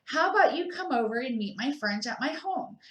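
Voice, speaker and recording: A woman's voice: high-pitched, animated, fairly quick, fairly bright tone, voice pushed up. An older woman voicing a kind, friendly male monster with an eager, reassuring manner in a children's storybook. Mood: warm, inviting. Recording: echoey room.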